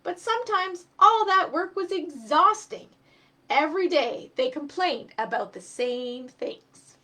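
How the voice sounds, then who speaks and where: A woman's voice: high-pitched, expressive, a little slow, pushed and bright. A woman hosting a children's storytime, talking to viewers and reading a story aloud expressively. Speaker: storytime host and reader.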